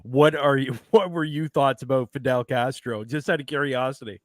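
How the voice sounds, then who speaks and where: A man's voice: medium pitch, normal speed, no room sound, studio quality. A man hosting a casual online talk show, rambling and digressive as he chats over a video call. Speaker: show host.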